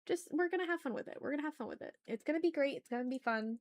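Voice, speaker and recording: A woman's voice: high voice, expressive, racing. A woman hosting a casual art livestream, thinking aloud as she draws and chatting to viewers in informal, slangy, effusive language. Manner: thinks aloud while working. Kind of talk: casual livestream chat while drawing.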